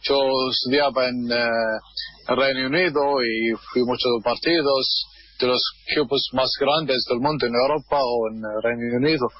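A man from Kuwait, a guest on a radio interview, speaking hesitantly with many pauses while he searches for words. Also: medium pitch, steady tone, slightly rough, no room sound, lo-fi sound. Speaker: guest, football fan. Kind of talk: radio interview over a line.

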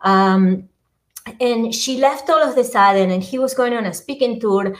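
A woman's voice: a little high, a little slow. A middle-aged woman from Argentina with an Argentine Spanish accent, chatting as an interview guest in a hesitant way, full of ums and uhs and small laughs. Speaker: guest, app creator. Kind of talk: casual interview chat.

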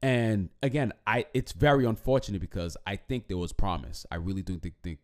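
A man co-hosting a podcast, chatting casually about a movie in a rambling way, with many restarts and a throat that is going hoarse. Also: fairly low pitch, brisk. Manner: rambling, repeats and restarts, animated. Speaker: podcast co-host. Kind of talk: casual movie review chat.

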